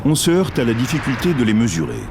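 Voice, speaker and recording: A man's voice: mid-range voice, wildly swooping pitch, fast, noisy background. A man reading scripted narration for a science documentary. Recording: narration over a music bed. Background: clear background music.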